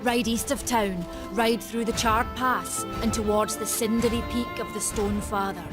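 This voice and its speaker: A woman's voice: a little high, steady tone, normal speed, close to an everyday voice. An actress playing a proud, stubborn female forge keeper who talks in old-fashioned, plainspoken terms, with game music behind her. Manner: archaic, plainspoken, bargaining.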